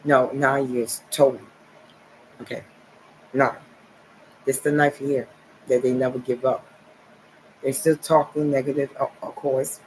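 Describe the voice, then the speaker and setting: A man's voice: medium pitch, little pitch movement, measured pace, pauses often, slight background noise, poor audio. Rambling man from New Jersey. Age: 40s. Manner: rambling, repetitive, filler "okay" and "nice". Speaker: vlogger. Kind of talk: rambling monologue to camera.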